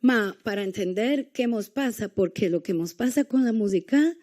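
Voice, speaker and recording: A woman from Argentina with an Argentine accent, giving a university lecture with small pauses and hesitations. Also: mid-range voice, expressive, warm tone. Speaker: guest lecturer.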